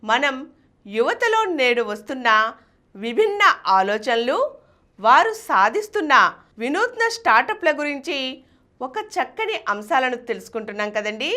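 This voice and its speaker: A woman's voice: high-pitched, sing-song, moderate pace, a bit bright. A woman presenting a feature as scripted narration.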